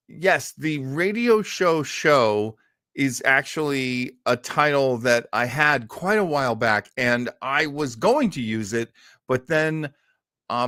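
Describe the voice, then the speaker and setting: A man's voice: mid-range voice, pushed and bright, no room sound, studio quality. A man hosting a live show in a casual, rambling way, self-deprecating and scattered, often hesitating as he goes. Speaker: livestream host.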